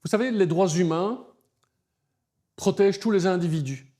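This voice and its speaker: A middle-aged man speaks in a mellow, slightly high voice. He is articulate and earnest, building his points with emphasis as an interview guest. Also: normal speed. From Belgium. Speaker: human rights lawyer, interview guest.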